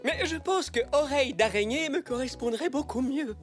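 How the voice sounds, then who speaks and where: Gushy grown-up zebra shopper, highish n very lively cartoon voice. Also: moderate pace, faint music behind. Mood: enthusiastic, florid. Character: enthusiastic, florid adult zebra customer. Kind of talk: French children's cartoon dubbing.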